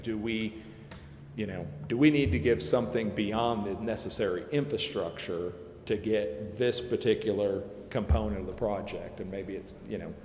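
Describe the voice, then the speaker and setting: A man explaining funding options to a city committee, pausing and restarting often. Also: fairly low pitch, steady tone, a little mellow, a little hiss or hum. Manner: hesitant, many restarts and fillers. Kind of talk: public meeting explanation. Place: city council chamber. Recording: echoey council chamber from far off.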